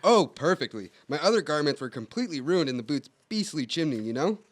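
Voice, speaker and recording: A man's voice: a little high, very animated, a little fast. A man voicing a tiny, chummy British circus performer with quaint, polite upper-class phrasing, in an old-time radio play. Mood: chummy, pleased. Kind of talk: 1940s-style radio drama acting.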